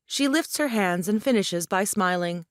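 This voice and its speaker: A woman's voice: mid-range voice, expressive, moderate pace, dry recording. Woman narrator, close and dry. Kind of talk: scripted narration of stage directions.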